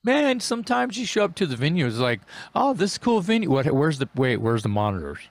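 A man hosting a casual interview, telling quick, animated stories about playing live. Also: a little high, no room sound. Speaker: interview host, singer.

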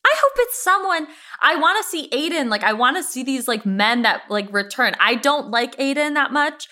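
A woman with a bright, forceful voice and very lively intonation co-hosts a TV recap. She chats casually and enthusiastically, gushing about what she likes and swearing as she goes. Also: sits high, normal speed. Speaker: podcast co-host.

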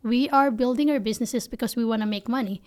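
A woman's voice: on the high side, fast talker, a little mellow. A woman from the Philippines with a Filipino accent, speaking candidly and emphatically in conversational interview answers as a company founder.

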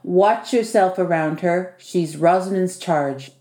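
A woman's voice: fairly low pitch, slow pace, mellow. Woman playing a bossy, wry little male house spirit. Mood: teasing, warning. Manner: wry and teasing, with foreign-sounding turns of phrase. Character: short, bossy, dryly witty male domovoi house spirit. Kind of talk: audiobook character dialogue. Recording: echoey room.

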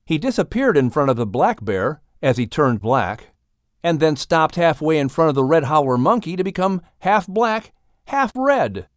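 A woman narrating a children's audiobook with expressive, scripted storytelling. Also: deep voice, moderate pace, no echo, clean studio sound.

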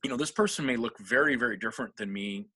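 A man's voice: medium pitch, fast talker, bright, forceful tone, slightly rough, dry recording. A middle-aged man from Seattle, a guest telling rambling, self-deprecating stories in a casual interview and laughing often. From Seattle, USA.

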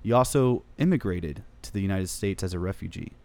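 A man hosting an interview in a cigar lounge, enthusiastic and quick to praise. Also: sits low, animated, creaky, dark tone. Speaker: podcast host.

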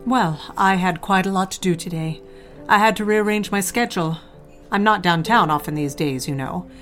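A woman's voice: fairly low pitch, moderate pace, fairly bright tone, faint music behind, lightly acted. A woman playing a cold, disapproving older society mother in an audio drama, haughty and clipped in manner. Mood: cool, aloof.

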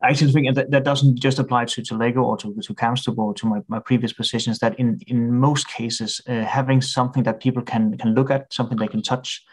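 A middle-aged man with a Danish accent, speaking English as a second language. His delivery stays flat and even, with little rise or fall. He hesitates often, filling pauses with "uh" and restarting his sentences. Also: medium pitch, husky, poor audio. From Denmark. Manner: hesitant, many filler "uh"s and restarts. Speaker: guest, head of analytics. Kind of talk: podcast interview.

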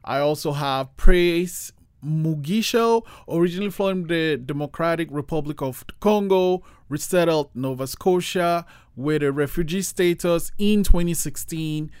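A man's voice: fairly high pitch, a little mellow, clear, resonant tone, no room sound. A man hosting an interview podcast, hesitant, with frequent fillers and restarts. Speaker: podcast host.

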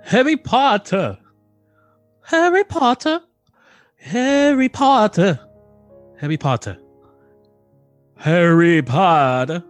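A man playing a country husband turned pompous, genteel gentleman, speaking flowery lines in a broken British accent for a comedy story. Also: high-pitched, animated, takes it slowly, long pauses, soft background music. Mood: marvelling. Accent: put-on broken British.

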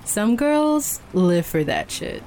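A woman acting a frank, flirty barista in an audio drama. Her voice sits at an average pitch and sounds casual and teasing, with faint rain behind it. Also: sing-song, slow pace, dark tone, a little hiss or hum. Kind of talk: naturalistic fiction podcast acting.

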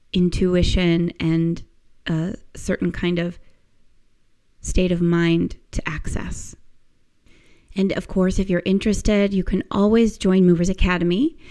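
Woman podcast host, croaky hoarse voice, gravelly fry, mellow dark tone, encouraging and gently instructive. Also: on the low side. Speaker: podcast host and movement teacher. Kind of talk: casual podcast monologue.

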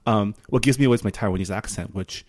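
A man with an American accent chatting casually on a podcast in a halting, filler-heavy way. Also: lowish voice, crowd noise. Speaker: podcast speaker.

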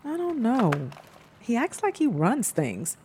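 A woman's voice: on the high side, wildly swooping pitch, slow pace, darkish tone, a little hiss or hum, exaggerated voice. An actress playing a practical, fussy young city woman in audio fiction, earnest and casual in manner.

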